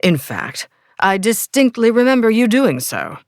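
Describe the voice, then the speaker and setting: A woman's voice: a little high, wildly swooping pitch, a little slow, no room sound, clean studio sound, voice pushed up, exaggerated voice. A woman voicing a teasing young male vampire with an English accent, playful and mocking. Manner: playful and mocking, sing-song.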